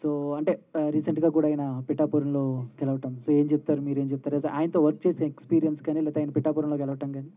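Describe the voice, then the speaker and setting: A male reporter with an Indian accent puts questions at a press event. His voice sits a bit high, and the sound is muffled and narrow-band. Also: little pitch movement, fast.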